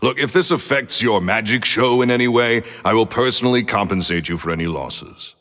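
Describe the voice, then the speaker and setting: An actor playing a short-tempered retired magician who talks in curt, blunt commands. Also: male voice, medium pitch, a little fast, a bit bright, a little hiss or hum, close to an everyday voice. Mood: dismissive. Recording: reverb with game music.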